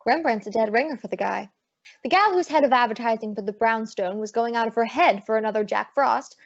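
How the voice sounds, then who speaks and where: A woman's voice: on the high side, brisk, vocal fry. A young woman playing a spirited female private detective, breezy and wry, in old-time radio detective style. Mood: probing. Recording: dull lo-fi audio, very dry.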